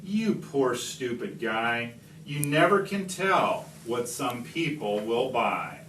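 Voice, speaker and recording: A man's voice: medium pitch, sing-song, slow pace, a bit bright, voice pushed down. A man reading as a brash, greedy storybook businessman, brusque, bossy and dismissive. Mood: mocking. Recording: distant mic, echoey room, background noise.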